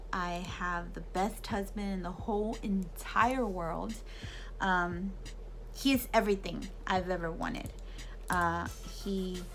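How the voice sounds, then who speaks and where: Chatty woman in her thirties. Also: mid-range voice. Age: 30s. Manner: chatty, animated, many fillers and restarts. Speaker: YouTube vlogger. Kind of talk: casual Q&A talk to camera.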